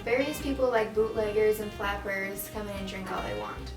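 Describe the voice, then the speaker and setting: A woman's voice: mid-range voice, normal speed, close to an everyday voice. A woman playing a welcoming 1920s speakeasy keeper in an amateur mock interview. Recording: roomy distant mic, music behind.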